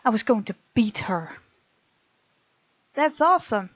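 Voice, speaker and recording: A woman's voice: a little high, sing-song, unhurried, dry recording, highs cut off. A woman hosting a let's play, reading game text aloud and reacting casually and expressively. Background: faint game music.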